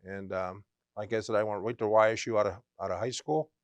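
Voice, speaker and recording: A man, speaking in a dry studio. He answers earnestly, often pausing and restarting his sentences. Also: lowish voice, steady tone, dry recording. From Western Pennsylvania. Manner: earnest, with frequent ums and restarts. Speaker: MBA program director, guest. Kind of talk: conversational interview answers. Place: college TV studio.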